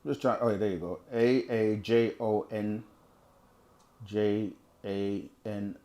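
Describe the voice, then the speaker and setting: Man, low-ish voice, chatty livestream host reading chat and riffing on answers. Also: steady tone, takes it slowly, warm tone. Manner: conversational, repeats himself, reads chat aloud. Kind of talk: casual live Q&A teaching.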